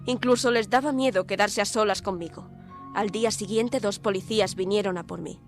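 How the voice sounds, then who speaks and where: A woman's voice: mid-range voice, a little fast, close to an everyday voice. Actress as a defiant woman reporter, music underneath. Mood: grave, recounting. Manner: indignant, articulate, dramatic. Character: defiant young woman reporter posing as an asylum inmate. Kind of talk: audio drama narration and dialogue.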